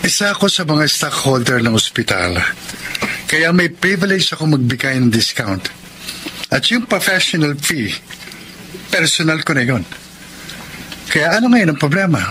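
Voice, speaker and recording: A man's voice: a little high, very animated, normal speed, pauses often, slight background noise, poor audio. A Filipino man acting a sincere, ardent young doctor in love, with an earnest dramatic delivery, in a radio drama. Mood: defensive. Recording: radio drama with loud music bed.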